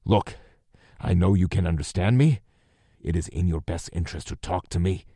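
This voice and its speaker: A man's voice: deep voice, normal speed, mellow, breathy edge, no echo, clean studio sound. A man voicing a ruthless, sarcastic male officer who barks commands. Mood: menacing. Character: gruff hard-edged male officer, ruthless.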